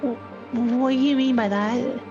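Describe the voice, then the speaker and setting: A woman's voice: fairly high pitch, steady tone, unhurried, highs cut off, over music. A woman playing a tabletop game, casually roleplaying in a hesitant, apologetic way. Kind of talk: casual in-character roleplay and chat.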